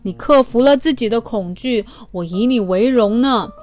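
A woman's voice: on the high side, normal speed, a little mellow, close to an everyday voice. A woman voicing a proud father tractor praising his son in a children's story. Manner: emphatic praise.

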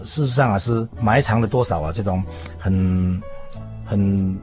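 A man with a Taiwanese Mandarin accent giving conversational religious commentary as a guest preacher, building thoughts in short, halting phrases. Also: on the low side, a little mellow. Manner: halting, phrase by phrase with many sentence-ending particles. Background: background music.

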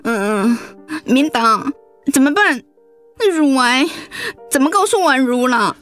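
Emotional, high-voiced Taiwanese wife. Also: female voice, expressive, takes it slowly, a bit bright, soft background music, heavily acted. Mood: tearful, anxious. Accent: Taiwanese Mandarin. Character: retired grandmother, affectionate, emotional breast cancer survivor. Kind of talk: radio drama acting.

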